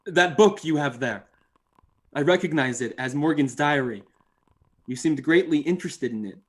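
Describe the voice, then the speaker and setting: An actor playing a proud, educated witness in an old time radio style drama, with formal and precise diction. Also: male voice, a little high, lively intonation, moderate pace. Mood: insistent. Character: proud, indignant male newspaper witness, educated and testy.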